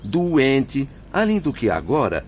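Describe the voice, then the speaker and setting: An actor playing a bitter, defiant hospital patient in a radio drama, curt and argumentative. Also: male voice, medium pitch, huge pitch swings, takes it slowly. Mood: angry, defiant. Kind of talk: Brazilian radio drama acting. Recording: dull, faintly noisy radio drama audio.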